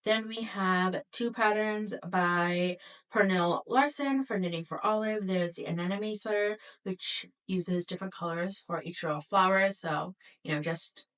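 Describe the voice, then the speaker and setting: A woman's voice: medium pitch, unhurried, a bit bright, recorded from a distance, poor audio. A woman vlogging casually to camera, her voice a little nasal from a cold, chatty with frequent fillers. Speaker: knitting vlogger.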